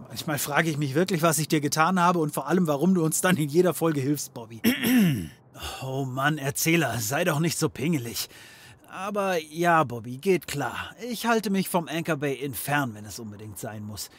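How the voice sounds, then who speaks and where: An actor playing a flippant, sarcastic private detective in a comedy audio drama, joking and theatrical. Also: male voice, on the high side, expressive, normal speed, breathy edge. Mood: wry, exasperated.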